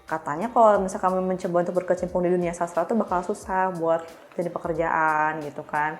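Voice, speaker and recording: A woman's voice: lowish voice, steady tone, fairly quick, faint music behind. A young woman, chatting candidly and self-deprecatingly as she tells her own story as a podcast guest. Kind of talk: casual personal storytelling.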